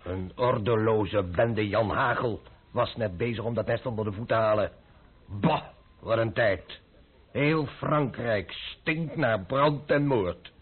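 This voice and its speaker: An actor playing a hot-headed baron and dragoon officer in a Dutch radio play, gruff, clipped and indignant. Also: male voice, lowish voice, slow pace. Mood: disgruntled, scornful. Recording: tinny, phone-like old radio play recording.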